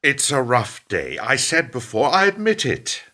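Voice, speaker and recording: A man's voice: medium pitch, wildly swooping pitch, a little slow, sharp tone, heavily acted. A man acting in a radio play as a pragmatic, evasive company boss, guarded and self-justifying. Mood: defensive.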